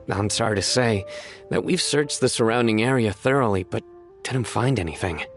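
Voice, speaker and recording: A man's voice: lowish voice, moderate pace, faint music behind, lightly acted. A man playing an earnest soldier in a video game. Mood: regretful. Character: earnest soldier reporting a fruitless search.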